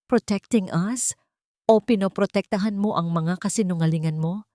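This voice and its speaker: A woman's voice: mid-range voice, moderate pace, dark tone, no echo, studio quality, lightly acted. A woman voicing a cold, bitter, betrayed rich man in a dramatic audio story. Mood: accusing.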